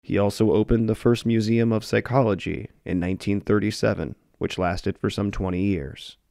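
Low gravelly guy reading a history doc script, dark tone, dry close mic. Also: steady tone, moderate pace, no room sound. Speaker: documentary narrator.

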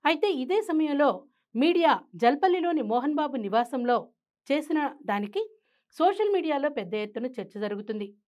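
A woman presenting scripted news commentary. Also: a little high.

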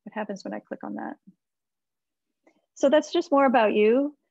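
An American woman in middle age talks conversationally, with plenty of fry in her voice. She sounds like an encouraging consultant thinking aloud as she reviews work. The sound is close and dry, slightly dulled by a video call. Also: on the high side, moderate pace, darkish tone, no echo, dull audio. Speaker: webinar presenter, website marketing consultant. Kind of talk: informal website critique and advice. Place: small indoor room with bookshelves. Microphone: computer mic on a video call.

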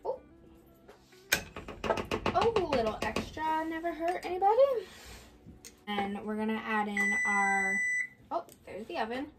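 Young woman, kinda high voice, rambling thru a cookie recipe in her kitchen, counter camera, hissy audio. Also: expressive, measured pace, long pauses. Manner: chatty, offhand, thinking aloud while baking. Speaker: baking vlogger. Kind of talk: casual bake-along narration. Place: home kitchen, indoors. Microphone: camera on the counter, speaker mostly off-frame.

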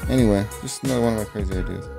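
Gravelly man rambling over loud music. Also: on the low side, racing. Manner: profane, rambling, laughs at own jokes. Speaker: vlogger. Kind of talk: rambling off-the-cuff monologue.

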